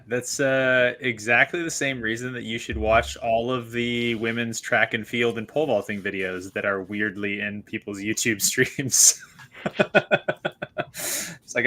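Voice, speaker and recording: Bright-voiced laughing male book club host. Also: medium pitch. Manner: chatty, laughs often, many restarts. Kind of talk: casual online chat.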